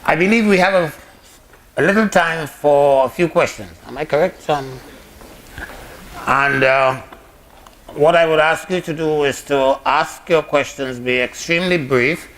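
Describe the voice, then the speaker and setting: Man moderating questions, slow and measured, slightly high voice, faint room noise. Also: fairly bright tone. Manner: measured, courteous, lightly joking. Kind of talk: chairing a question session.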